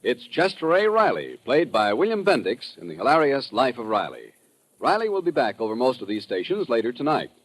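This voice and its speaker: A man's voice: medium pitch, low-quality recording. An American man announcing a programme promo in the style of 1950s radio.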